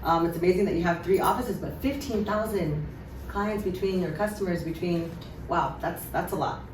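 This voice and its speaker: A woman hosting an interview, upbeat and quick to laugh. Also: lowish voice. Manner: enthusiastic, laughs often. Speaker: interview host. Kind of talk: casual interview. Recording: echoey room, mic at a distance, faint noise.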